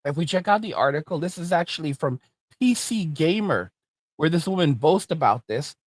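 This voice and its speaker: A man's voice: fairly high pitch, lively intonation, normal speed, dry recording, studio quality. A man hosting a reaction commentary channel, ranting in an indignant tone.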